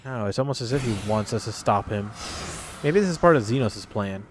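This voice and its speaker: A man's voice: medium pitch, expressive, brisk, background noise. A man streaming a video game, reacting casually with blurted exclamations and swearing. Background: video game music and effects.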